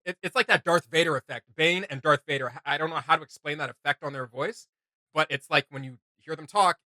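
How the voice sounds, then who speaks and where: Bright-voiced man reacting casually. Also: on the high side, brisk, dry recording. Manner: enthusiastic, rambling, joking. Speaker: reaction video host.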